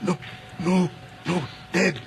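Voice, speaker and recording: High, gravelly possessed dying man. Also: fairly even intonation, exaggerated voice. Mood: tormented, delirious. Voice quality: strained, gravelly, broken. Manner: halting, disjointed bursts. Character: dying, possessed man speaking in fractured, tormented fragments. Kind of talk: 1980s radio horror acting.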